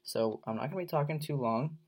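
A man's voice: mid-range voice, a little fast. A young man from with a head cold and sore throat, hosting his own podcast in a rambling, laid-back way full of fillers. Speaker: podcast host. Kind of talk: casual solo podcast chat.

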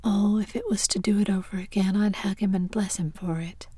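A woman's voice: mid-range voice, fairly even intonation, fairly quick, mellow, breathy edge, no room sound, natural voice. A woman whispering as a tearful, remorseful mother in a storybook reading. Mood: sobbing.

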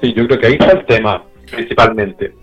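A man's voice: medium pitch, fairly quick, dull audio, faint music behind. A Chilean man from Santiago talking casually and candidly as a musician being interviewed, in a reflective, colloquial way.

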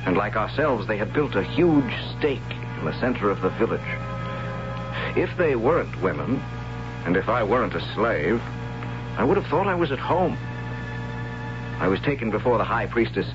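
A man playing a soft-spoken, earnest captive who also narrates, in a 1970s radio drama; dull old broadcast sound with music behind. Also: on the low side, big pitch swings, normal speed, long pauses. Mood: narrating. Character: captured young man, gentle and wondering.

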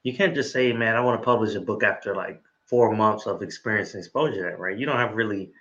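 A man with a fairly low voice hosts an interview, sounding bright and forceful. He talks with enthusiasm and keeps tagging "right?" onto his sentences. The recording is dull and lo-fi. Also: quick pace. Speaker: interview host. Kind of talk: casual interview chat.

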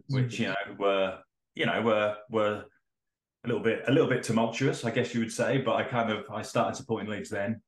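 Middle-aged Norfolk man, very low voice, rambling guest, echoey room. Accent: Norfolk English. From England (Norfolk). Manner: rambling, self-correcting, many fillers. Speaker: podcast guest, designer. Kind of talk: casual podcast chat.

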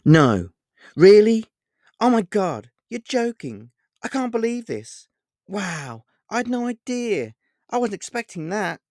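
A man voicing a sarcastic young woman heroine with mocking, exaggerated high inflections in a storybook reading. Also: big pitch swings, slow pace, a little mellow, dry recording, clean studio sound, full character voice.

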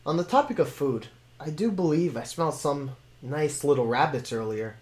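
A man's voice: on the high side, lively intonation, normal speed, a little mellow, lightly acted. Man doing a polite talking dog. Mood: earnest.

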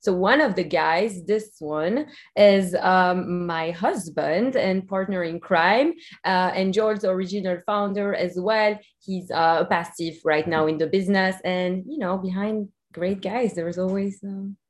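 A woman's voice: mid-range voice, unhurried, few pauses. A woman from Belgium with a Belgian accent, a company co-founder chatting as a podcast guest in an upbeat, chatty way. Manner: upbeat, chatty, laughs easily. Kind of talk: podcast interview.

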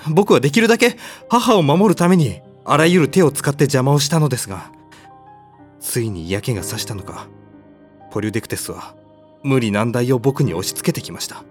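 A man playing a timid, cowardly young mortal hero in a comedic audio drama, polite but whiny, flustered and quick to protest. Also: medium pitch, lively intonation, faint music behind, close to an everyday voice. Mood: downcast.

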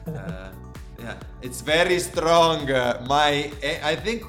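A man with an Italian accent co-hosting a casual podcast, talking fast and joking about his own English. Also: a little high, expressive, a bit bright. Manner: quick, playful, self-mocking. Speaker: podcast co-host. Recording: echoey room with faint music.

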